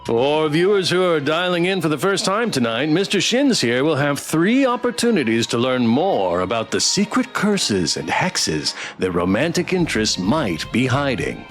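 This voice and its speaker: Man doing showy male announcer for a spooky game: highish, animated, theatrical, faint music. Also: moderate pace, hardly any pauses. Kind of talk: reading visual novel dialogue aloud.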